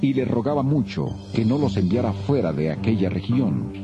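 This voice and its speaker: Deep, expressive male Bible narrator. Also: normal speed. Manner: solemn, expressive storytelling. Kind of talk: dramatic scripted Bible narration. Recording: dull audio with music bed.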